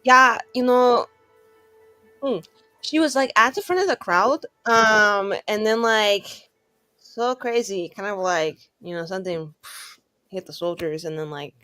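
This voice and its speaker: A woman's voice: medium pitch, measured pace, sharp tone, clear, resonant tone, dry recording, faint music behind. A woman chatting casually in character as a tabletop roleplaying player, offhand and slangy.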